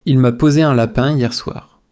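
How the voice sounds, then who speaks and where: A man reading language drills in a measured, repetitive way, phrase by phrase, as a teacher for shadowing practice. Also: medium pitch, fairly even intonation, a little mellow.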